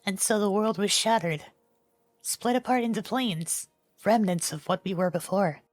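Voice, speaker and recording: A woman playing a polite, gentle young newcomer in an animated fandub, soft-spoken. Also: medium pitch, normal speed, no echo, soft background music, natural voice. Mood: thoughtful.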